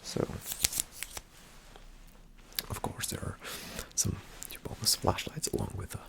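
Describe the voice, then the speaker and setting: A man performing a whispered ASMR roleplay as a calm spy agent, giving hushed, deliberate instructions in a soft, breathy, slightly creaky voice. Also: noisy background. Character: calm, attentive male spy agent briefing a partner.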